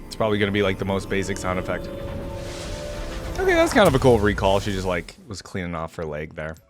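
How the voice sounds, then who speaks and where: A man's voice: sits low, sing-song, quick pace. A man giving casual reaction commentary as a video host, musing and thinking aloud. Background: game music and sound effects.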